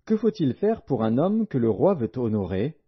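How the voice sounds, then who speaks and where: Man reading as commanding king, husky voice. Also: medium pitch, sing-song, normal speed. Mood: questioning, commanding. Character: commanding male Persian king giving orders. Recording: dull, lo-fi audio.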